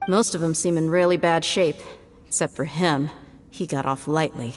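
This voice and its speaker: A woman's voice: low-pitched, steady tone, normal speed, slight background noise, close to an everyday voice. An actress voicing a blunt, wry young female traveler in a video game, with a sardonic edge. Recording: game audio with reverb and faint music.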